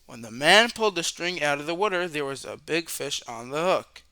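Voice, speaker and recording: A man reading a children's storybook aloud as a storyteller, with expressive narration, dramatic questions and drawn-out words for young listeners. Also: on the high side, clear, resonant tone, no echo.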